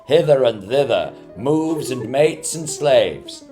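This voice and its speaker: A man playing a grandiose announcer of a 1940s-style radio drama, theatrical, measured and declamatory. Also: mid-range voice, expressive, warm tone. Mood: solemn, poetic. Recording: muffled, music behind the voice. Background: guitar music.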